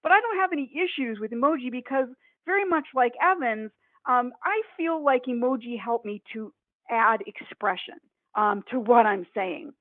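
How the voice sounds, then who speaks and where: A woman in her forties from Northern Ohio giving a conference webinar talk, slightly hoarse, chatty with many fillers and restarts. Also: on the high side, moderate pace, dry recording. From Northern Ohio, USA. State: hoarse, coughing. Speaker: conference presenter. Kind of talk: webinar presentation. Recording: dry, phone-like audio.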